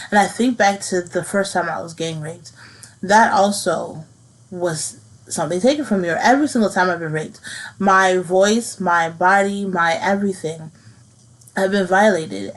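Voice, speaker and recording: A woman in her thirties hosting her own podcast, speaking earnestly and haltingly about something personal, repeating phrases as she works through it. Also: on the low side, poor audio. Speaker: podcast host. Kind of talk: personal reflective monologue.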